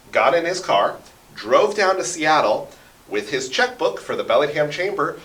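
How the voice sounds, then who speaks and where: Bright-voiced man telling stories, roomy sound. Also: fairly high pitch, distant mic. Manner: emphatic, often checks in with "right?". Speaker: interview guest, radio drama producer and local history enthusiast. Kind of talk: animated conversational storytelling. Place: radio studio. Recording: roomy, echoing, away from the mic.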